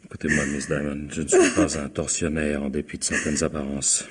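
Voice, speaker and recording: An actor playing a sardonic, dogged police inspector in a French radio mystery, prodding with irony and drawn-out interjections. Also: male voice, a bass voice, flat delivery, husky. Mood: soft, insistent. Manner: ironic, prodding, drawling interjections. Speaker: actor in a radio crime drama.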